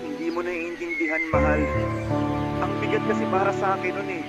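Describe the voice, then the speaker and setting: A man's voice: high voice, fairly even intonation, brisk, natural voice. A man playing a despondent, stubborn fisherman husband in a Tagalog radio drama, earnest and emotional. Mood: anguished. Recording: loud music bed under voice.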